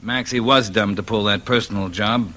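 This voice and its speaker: A smooth male gangster boss in a vintage radio crime drama. He speaks in a lowish voice with a genial, coolly amused manner and menace kept just under the surface. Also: steady tone, moderate pace, sharp tone. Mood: calm menace.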